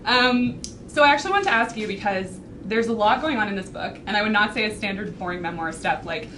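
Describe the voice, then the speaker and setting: A woman's voice: mid-range voice, fairly quick. A woman interviewing a guest in a casual, friendly way. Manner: friendly, giggly. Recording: noisy, distant mic.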